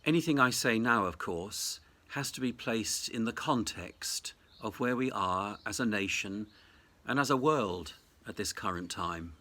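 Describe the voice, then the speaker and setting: A man, a cathedral dean, giving a reflective Easter message in measured, deliberate phrases. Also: fairly low pitch, lively intonation, bright, forceful tone.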